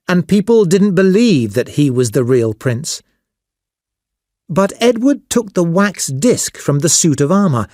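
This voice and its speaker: Slow, expressive male lesson narrator. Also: on the high side, no room sound, clean studio sound. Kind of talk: scripted story narration for language learners.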